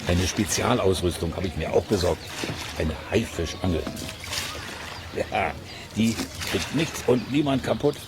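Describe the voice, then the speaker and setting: Eager amateur angler, lowish creaky voice, musing colloquial delivery, children's radio play, noisy recording. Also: male voice, unhurried. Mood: confiding, pleased. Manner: musing aloud, hesitant colloquial asides. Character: curious, eager, chatty male amateur monster hunter and angler. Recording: noisy cassette transfer.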